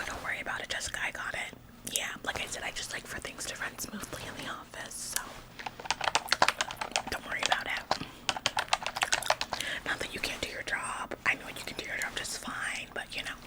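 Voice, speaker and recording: A woman's voice: moderate pace, pauses often, natural voice, low-quality recording. A woman in a whispered ASMR roleplay, playing an overeager, officious office employee who acts like the boss, chirpy, fussy and politely bossy. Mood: fussy, helpful.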